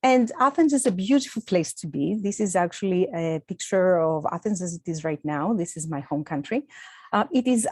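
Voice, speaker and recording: A woman's voice: lowish voice, lively intonation, normal speed, darkish tone, husky, dry recording, studio quality. A middle-aged woman from Greece with a Greek accent. She gives an earnest presented talk as an astronomer and fills it with uh and um. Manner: earnest, with frequent uh and um fillers.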